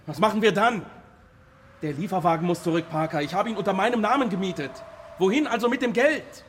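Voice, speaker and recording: Actor as a fast-talking high-voiced restless robber. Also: male voice, slight background noise, natural voice. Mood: agitated, insistent. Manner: brisk, blunt, colloquial. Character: restless, blunt male heist robber. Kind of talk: German radio crime drama acting. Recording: long reverb of a hall.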